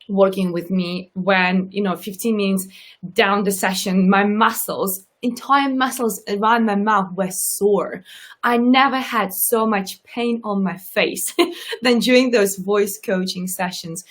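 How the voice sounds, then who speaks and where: A woman from Russia with a subtle Russian accent, hosting an interview show as a coach, speaking earnestly and repeating points for emphasis. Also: mid-range voice. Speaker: talk show host and coach. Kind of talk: casual interview chat.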